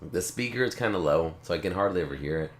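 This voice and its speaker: A man hosting a casual tech video, rambling in a relaxed way and repeating himself, with a slight cough. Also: sits low, fast, a little hiss or hum. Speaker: tech channel host. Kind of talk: casual unboxing talk to camera. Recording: echoey room.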